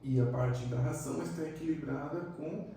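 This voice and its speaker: Man, mellow voice, echoey room. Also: on the high side, moderate pace, a little hiss or hum. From Argentina. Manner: relaxed, reflective, many fillers. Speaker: psychiatrist guest. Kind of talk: casual interview chat. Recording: echoey room, distant mic.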